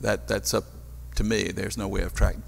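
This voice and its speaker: An older man questioning staff as a council member in a formal meeting, halting and deliberate, at a desk microphone in a chamber. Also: lowish voice, level tone, creaky. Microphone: gooseneck desk microphone. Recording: reverberant meeting hall.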